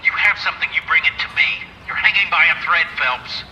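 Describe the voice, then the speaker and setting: Casting: bullying police chief, high, very sharp bark over a telephone, game drama. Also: male voice, animated, a little fast. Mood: angry, threatening. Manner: barking, threatening. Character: irate, bullying 1940s police commander. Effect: telephone filter. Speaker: police commander. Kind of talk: video game dialogue. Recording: thin band-limited phone line, faint noise.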